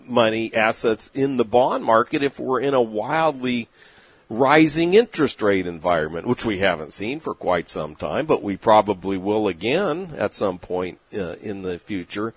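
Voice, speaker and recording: Middle-aged money manager guy, husky voice that swoops up and down, dry close sound, blunt and folksy. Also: fairly low pitch, expressive, moderate pace, dry recording. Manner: plain-spoken, opinionated, folksy, many "uh"s. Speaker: investment manager and newsletter writer. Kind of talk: direct-to-camera market commentary.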